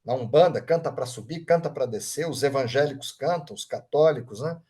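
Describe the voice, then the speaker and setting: A man's voice: medium pitch, lively intonation, a little fast, breathy edge. Brazilian man leading an online group. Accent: Brazilian Portuguese. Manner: warm, informal, often adds tag questions like "right?". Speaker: Reiki master leading an online study group. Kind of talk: live group session, reading out chat comments.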